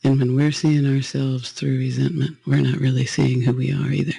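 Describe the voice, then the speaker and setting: Male guided-meditation leader, gravelly and close in a dry space, gentle spiritual delivery. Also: medium pitch, flat delivery, moderate pace, no room sound.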